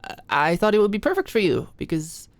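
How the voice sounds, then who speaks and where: Woman voicing anxious young female student, self-doubting, stammering, rambling, sweet, mid-pitched, ASMR roleplay, dry sound. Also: sing-song, a little fast, no room sound. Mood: shy, grateful. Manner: rambling, stammering, hesitant, swearing. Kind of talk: intimate ASMR roleplay monologue.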